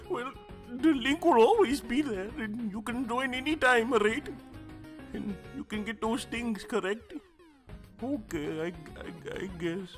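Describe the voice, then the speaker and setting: A woman promoting a fan series, pleading for support in a halting, coaxing way. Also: on the high side. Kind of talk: hesitant, pleading support appeal.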